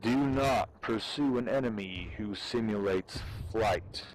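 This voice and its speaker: Slow, solemn low-voiced man reading dramatically. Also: a little hiss or hum, poor audio. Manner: solemn, deliberate delivery with pauses. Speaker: amateur reader performing for fellow gamers. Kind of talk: dramatic audiobook-style reading of a military treatise.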